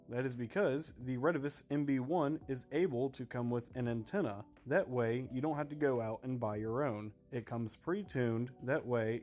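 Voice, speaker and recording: Male review narrator, mid-pitched, clear explanatory presenter delivery, music underneath. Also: a little mellow. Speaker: product reviewer. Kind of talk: scripted product review voice-over. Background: background music.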